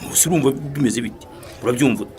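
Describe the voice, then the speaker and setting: A man's voice: medium pitch, rapid-fire, a little mellow, close to an everyday voice. An actor playing a hungry, penniless man who wheedles and takes offence in a radio drama. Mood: pleading. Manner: wheedling, indignant. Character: hungry, broke, pleading barfly with touchy pride.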